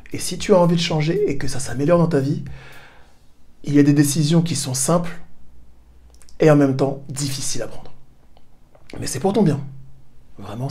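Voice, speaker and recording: A man's voice: fairly high pitch, darkish tone. A man hosting a self-help video, coaching the viewer in a casual, direct way with playful asides. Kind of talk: direct-to-camera coaching talk. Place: home in southern Spain.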